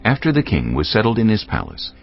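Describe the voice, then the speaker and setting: A man's voice: on the low side, wildly swooping pitch, fast. A man reading scripted audio Bible narration as the narrator, with music behind him. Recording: muffled, band-limited audio.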